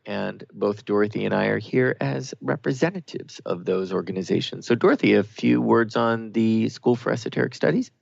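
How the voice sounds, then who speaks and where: A man's voice: on the low side, vocal fry, dry recording, highs cut off. A man hosting a talk radio show in a conversational way, with hesitant phrasing.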